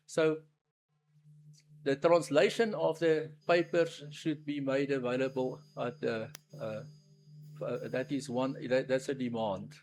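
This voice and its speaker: Brief: Afrikaans-speaking man, South African accent, gravelly voice, halting formal submission as an attorney at a public hearing. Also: medium pitch, steady tone, music in the background, low-quality recording. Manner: halting, often restarting and searching for words.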